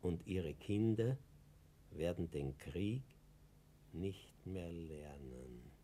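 An actor playing a humble, stubborn old postal worker in a radio play, colloquial and plaintive, with an Austrian accent. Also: male voice, deep voice, lively intonation, very slow, warm tone, a little hiss or hum, full character voice. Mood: solemn, slow. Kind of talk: German-language radio play acting.

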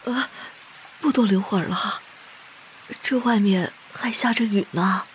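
A woman playing an anxious, loving middle-aged mother in a drama, hesitant and halting, often repeating herself. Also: mid-range voice, slight background noise, close to an everyday voice. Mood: awkward, hesitant. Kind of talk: screen-style audio drama acting.

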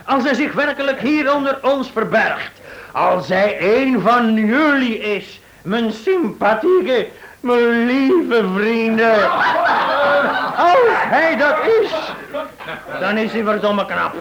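A man's voice: very high voice, expressive, slow pace, muffled recording, exaggerated voice. An actor playing a tipsy, mocking man who rambles theatrically through toasts in an old radio play. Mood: boozy, mocking. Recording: old radio recording, loud crowd chatter.

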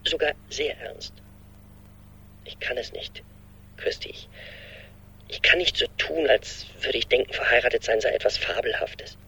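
An actor in a radio play playing an anxious, hesitant boyfriend who speaks earnestly and haltingly into the phone. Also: male voice, mid-range voice, vocal fry, pushed and bright, breathy edge, full character voice, rough recording. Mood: pained, reluctant.

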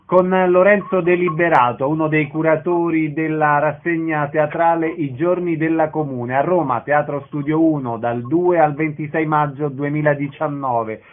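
A man with a fairly high voice hosts a radio interview. The sound is narrow and lo-fi, like a phone or radio line, as he presents in an introductory style. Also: steady tone, normal speed, hardly any pauses. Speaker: radio host.

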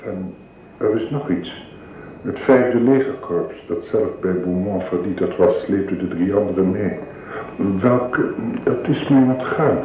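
In a muffled, very reverberant radio drama recording, a weary French emperor at war. He is languid, halting and aristocratic, with a low, soft-edged male voice. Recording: muffled, very echoey room, faint hiss.

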